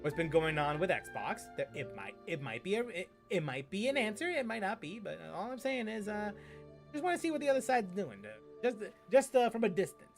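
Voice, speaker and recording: A man giving casual live game commentary in a fairly high, very lively voice. He rambles playfully and circles back over his phrases. Soft music plays in the background. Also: fairly quick, vocal fry. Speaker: game streamer.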